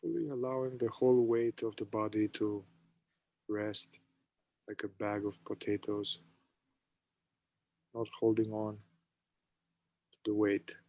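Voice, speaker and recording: A man, a somatic therapist, leading a guided meditation, speaking slowly and softly in short phrases with long pauses. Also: fairly low pitch, fairly even intonation. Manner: slow, soft-spoken, with long pauses between phrases.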